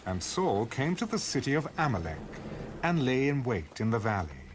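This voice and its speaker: A man narrating a dramatised audio Bible in a measured, expressive storytelling manner. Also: medium pitch, noisy background. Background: music bed.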